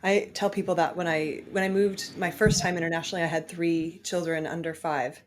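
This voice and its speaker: A woman hosting a podcast, talking thoughtfully with pauses and fillers. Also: on the low side, level tone, background noise. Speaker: podcast host. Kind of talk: conversational interview.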